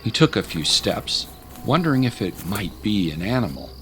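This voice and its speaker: A man's voice: fairly low pitch, very animated, normal speed. A man narrating a scripted dramatic story as an audiobook narrator. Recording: music under the voice.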